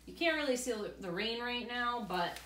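A woman in her thirties reads a storybook aloud to children as a teacher, pausing to explain things in simple words. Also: on the high side, lively intonation, a bit bright. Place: indoor room beside a large glass door. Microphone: camera a few feet away. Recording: roomy, distant camera mic with faint noise.

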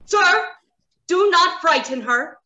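A woman's voice: extremely high pitch, animated, takes it slowly, pushed and bright, a little hiss or hum, heavily acted. A woman playing a young, protective robot in love, speaking earnestly and declaratively in old radio drama style. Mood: firm earnest. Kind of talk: 1930s radio drama acting. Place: indoors on a video call.

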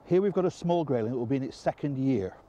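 An older man presenting a fly fishing lesson on a riverbank, explaining calmly with quiet enthusiasm, recorded on a clip-on mic. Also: medium pitch, fast, lo-fi sound. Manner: calm, explanatory, enthusiastic about the fish. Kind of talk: outdoor instructional presenting. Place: outdoors on a river bank.

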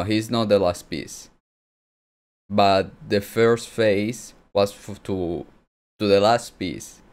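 Guy streaming a game, real slow, lowish voice, thinks out loud and corrects himself. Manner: rambling, self-correcting, thinking aloud. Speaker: game streamer commenting on a playthrough. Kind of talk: casual playthrough commentary.

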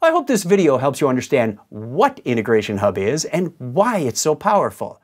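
A man in his fifties giving scripted software instruction in a clear, emphatic, didactic presenter's delivery. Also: mid-range voice, very animated, normal speed.

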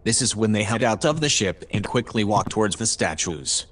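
An actor playing a calm, analytical spaceship AI, narrating in a measured, formal, precise way. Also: male voice, fairly low pitch. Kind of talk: audio drama narration.

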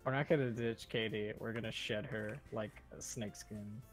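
A man's voice: fairly low pitch, steady tone, normal speed, soft background music. A man in casual, joking stream banter, sarcastic and swearing freely, as a guest reading along. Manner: sarcastic, profane.

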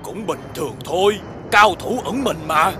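An actor voicing a grandfatherly cartoon moon, wise and proud, explaining things in a self-assured, unhurried way. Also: mid-range voice, lively intonation, pushed and bright, faint music behind. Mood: nonchalant, proud. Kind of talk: children's cartoon dubbing.

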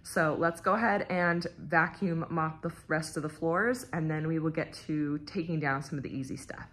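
A woman's voice: sits low, moderate pace. A woman in her thirties, a stay-at-home mom, chatting casually about her cleaning plans at home, filmed on a phone held at arm's length. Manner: chatty, plain, thinking aloud. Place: her house, indoors.

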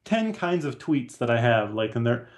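Casual man in his thirties, mid-pitched voice. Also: big pitch swings, normal speed. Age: 30s. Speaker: guest, comics artist. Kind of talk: casual chat. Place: small room at home or office. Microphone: video call, headphones.

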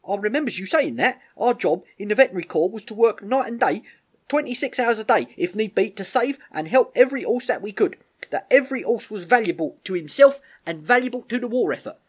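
Man as an earnest, high-voiced young rustic soldier. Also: animated, brisk, slightly rough. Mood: impassioned. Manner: earnest, insistent, rustic grammar.

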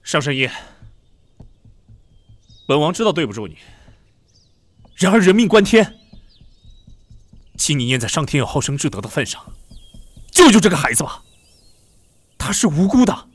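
An actor playing a proud, emotional prince regent of imperial China in an audio drama, dramatic and impassioned. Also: male voice, fairly high pitch, very animated, moderate pace, pauses often, no echo. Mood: urgent, pleading. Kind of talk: Chinese historical audio drama acting. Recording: background music under the voice.

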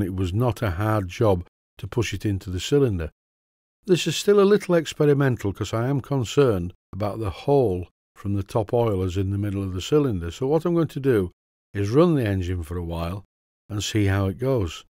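A middle-aged man with a Yorkshire accent, giving a steady, methodical workshop tutorial voice-over about model engine repair. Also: fairly low pitch, no room sound, studio quality. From Yorkshire, England. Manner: steady, methodical, plain-spoken instruction. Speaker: model engineering channel host.

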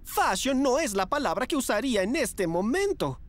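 A man's voice: very high-pitched, moderate pace. A man voicing a flamboyant, theatrical young fashion agent and caring mentor in a cartoon dub. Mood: shocked, dramatic. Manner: theatrical, expressive. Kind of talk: animated series dub in Spanish.

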